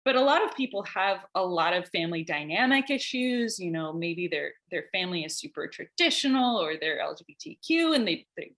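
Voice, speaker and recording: A woman's voice: fairly low pitch, big pitch swings, brisk, hardly any pauses, a bit bright. A woman who is a guest on a talk show, speaking thoughtfully and working through her points in an orderly way. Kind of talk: casual conversational interview.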